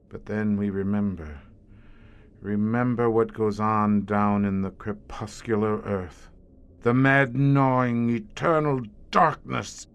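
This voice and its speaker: An actor playing a grim, weary older commander of a secret monster-hunting police squad in an audio horror drama, speaking in florid, deliberate phrases. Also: male voice, on the low side, clear, resonant tone. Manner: eloquent, florid, deliberate speech.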